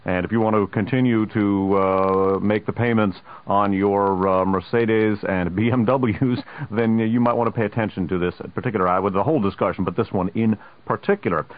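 A low-voiced man hosts a political radio interview, working through his points haltingly with frequent uhs and the odd wry aside. His voice comes through a thin, tinny broadcast line. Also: fairly even intonation. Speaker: radio host.

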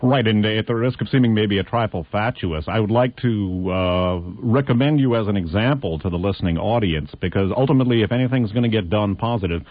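Hoarse man hosting a radio interview. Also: lowish voice, no echo. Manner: earnest, halting with many fillers. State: hoarse from laryngitis. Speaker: radio host. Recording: muffled lo-fi radio broadcast.

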